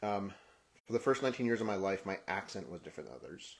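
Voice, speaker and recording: Brief: man raised in Kenya, low voice, reflective solo talk, halting restarts, soft trailing endings. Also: very animated. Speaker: podcast host. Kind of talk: personal reflective monologue.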